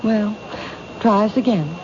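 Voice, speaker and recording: An actress playing a reserved, polite bookshop owner with a guarded manner in a radio drama. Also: female voice, mid-range voice, a little slow, close to an everyday voice. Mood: polite, businesslike. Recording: muffled old radio recording, faint noise.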